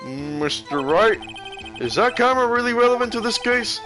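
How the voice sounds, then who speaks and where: A man's voice: extremely high pitch, expressive, over music, voice pushed up. Man doing a ponderous, stern old judge. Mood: solemn. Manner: formal, deliberate, solemn. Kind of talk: video game character voice-over.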